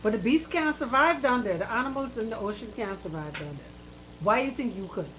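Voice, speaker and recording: High, lively Bahamian woman, slight background noise. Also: fairly quick, poor audio. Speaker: radio co-host. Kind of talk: casual radio banter.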